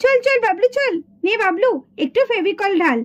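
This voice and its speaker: A woman voicing a bossy, chatty, excitable schoolgirl doll in a children's puppet cartoon. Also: extremely high pitch, moderate pace. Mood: excited. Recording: dull lo-fi sound.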